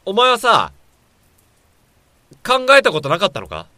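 A man playing a blunt, teasing but caring old friend in a radio drama, speaking casually and roughly. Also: sits high, wildly swooping pitch, a little slow, sharp tone, no room sound, heavily acted. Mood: probing.

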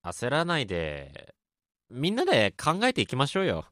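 A man playing a gentle, encouraging junior friend in a radio drama, speaking casually and politely with thoughtful hesitation. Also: medium pitch, huge pitch swings, moderate pace, dry recording, clean studio sound. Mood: earnest, reassuring. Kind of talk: amateur radio drama dialogue.

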